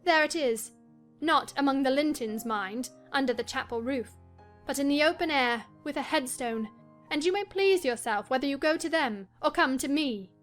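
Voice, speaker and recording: Woman acting a high-voiced, feverish, imperious young wife. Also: animated, moderate pace, a bit bright. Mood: bitter, feverish. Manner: dramatic, scornful, commanding. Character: passionate, delirious, headstrong young wife, bitter and imperious. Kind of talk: dramatized classic novel reading. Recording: music behind the voice.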